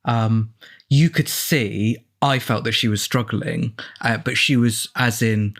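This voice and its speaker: A man's voice: lowish voice, slow pace, a bit bright. A man chatting casually as an author guest on a film commentary, in a hesitant, rambling way, often breaking off and restarting his sentences. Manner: hesitant, rambling, self-interrupting.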